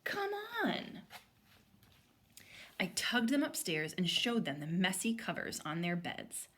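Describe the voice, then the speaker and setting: A woman's voice: on the low side, expressive, moderate pace, a bit bright. A woman speaking as an elementary teacher, reading aloud and explaining to children step by step. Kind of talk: storybook read-aloud and lesson for children.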